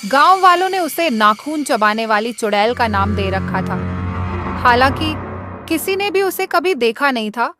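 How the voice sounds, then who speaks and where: A woman's voice: a little high, big pitch swings, a little slow, over music. A woman reading a scripted moral tale as a storyteller.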